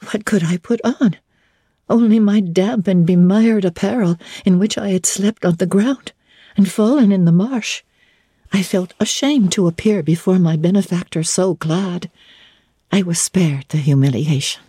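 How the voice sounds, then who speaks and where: Woman, literary narration, dark tone, slightly breathy husk, expressive, deliberate, formal diction. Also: medium pitch, no echo, clean studio sound. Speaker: audiobook narrator. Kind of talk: dramatic literary narration, first person.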